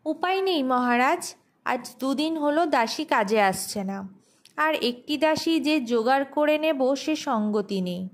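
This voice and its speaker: A woman voicing a sharp-witted, confident wife in a folk tale audio story. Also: high voice.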